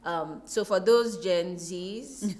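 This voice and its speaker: A woman's voice: lowish voice, slow, a little mellow. A woman with a Nigerian accent talking reflectively as an interview guest, telling things expressively with emphatic repetition.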